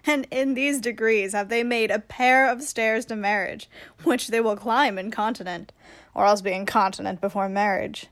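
A woman's voice: mid-range voice, steady tone, normal speed, fairly bright tone, no echo, clean studio sound, lightly acted. A woman playing a witty young woman disguised as a youth in a Shakespearean radio play. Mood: lively wit.

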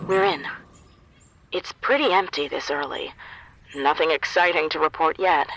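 A woman's voice: deep voice, normal speed, fairly bright tone, highs cut off. A woman acting in an audio drama as a capable, matter-of-fact team member on a covert mission, with dry humour. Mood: focused, guarded. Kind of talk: naturalistic fiction podcast acting.

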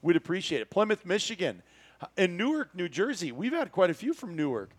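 American male podcast host, middle-aged, slightly high voice, folksy and joking, casual delivery. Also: sing-song, fairly quick, no echo. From Iowa, United States. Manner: chatty, joking, folksy exclamations.

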